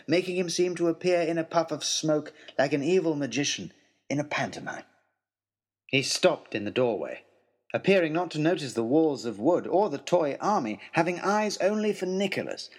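A man narrating an adventure story in the first person with dramatic, theatrical expression. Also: fairly high pitch. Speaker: audiobook narrator.

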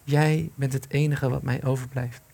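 Bitter, creaky-voiced man in hiding. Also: medium pitch, little pitch movement, moderate pace, warm tone. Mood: despairing. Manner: sarcastic, agitated. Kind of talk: Dutch radio play acting.

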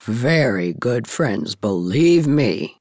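Woman putting on a low, deliberate old village gossip. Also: expressive, no room sound, clean studio sound, heavily acted. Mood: knowing, emphatic. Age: older. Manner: emphatic, deliberate, forthright. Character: blunt, opinionated older woman, village gossip and matchmaker.

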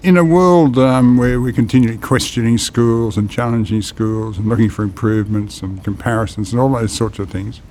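Male podcast host, mid pitch, relaxed questioning, faint ambience. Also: normal speed, hardly any pauses, slight background noise. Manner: conversational, prompting with short murmurs of agreement. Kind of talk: podcast interview.

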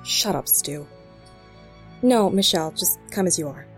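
A woman's voice: on the low side, expressive, unhurried, soft background music. No-nonsense female FBI agent. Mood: calm, authoritative. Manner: authoritative, no-nonsense, terse. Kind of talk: audio drama acting.

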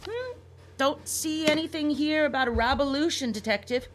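A woman's voice: high-pitched, animated, normal speed, a little hiss or hum. A woman playing a scheming secretary in a comic radio play, breezily feigning innocence with a pointed, sarcastic edge. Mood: feigned innocence. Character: scheming secretary, falsely innocent, impatient female rabbit revolutionary.

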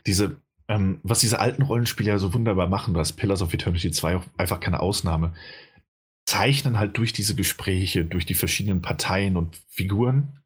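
Low, husky-voiced man, casual chat, rambling with fillers, game talk. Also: fairly quick. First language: German. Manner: rambling, many fillers and restarts. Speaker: game reviewer.

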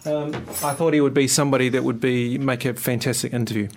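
A male radio host talks loosely in the studio. He fills his pauses with "um" and "uh" and keeps the chat friendly. His voice sits at an ordinary middle pitch. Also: steady tone. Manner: chatty, filler-heavy. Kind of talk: radio talk show.

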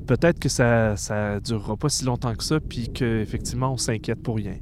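Quebec guy, podcast host, casual and thoughtful, medium pitch, kinda feeling for his words. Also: normal speed, noisy background. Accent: Québécois. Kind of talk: casual conversation.

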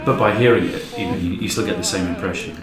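A man's voice: deep voice, racing, over music. A man telling anecdotes informally as a guest in a casual podcast chat. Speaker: podcast guest, show creator. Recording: roomy, echoey sound.

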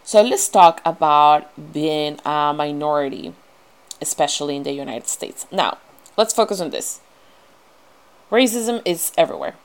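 A young woman from Ecuador with a strong Ecuadorian Spanish accent, talking earnestly to her viewers, pausing and restarting as she goes. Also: low voice. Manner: earnest, frequent restarts and fillers, grows animated. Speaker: vlogger. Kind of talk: personal talk to camera.

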